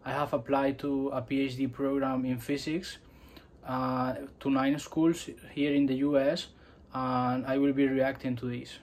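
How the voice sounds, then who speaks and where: A man's voice: mid-range voice, little pitch movement, a little slow, darkish tone. A young man from Spain talking casually to camera in a vlog, hesitant, with many fillers and restarts. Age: 20s. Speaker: vlogger. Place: small room indoors.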